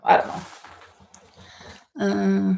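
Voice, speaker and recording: Casting: female livestream host, Norwegian accent, very unhurried delivery, gravelly fry, hesitant chatty style. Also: mid-range voice, completely flat delivery, darkish tone. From Norway. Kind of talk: casual chat while knitting, reading out viewer comments. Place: indoors at home in a holiday stay.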